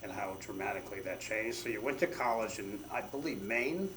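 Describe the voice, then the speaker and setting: A fairly low-voiced man asks questions and prompts his guest with details from his life. He sounds set back from the microphone, with a faint ambient hum. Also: expressive, brisk, fairly bright tone, far from the mic, rough recording. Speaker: interviewer. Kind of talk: conversational interview questions.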